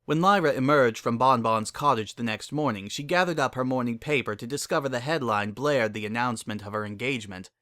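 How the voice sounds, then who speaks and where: A man's voice: mid-range voice, a little fast, few pauses, fairly bright tone, dry recording, clean studio sound. A man narrating a scripted dramatic reading of a story.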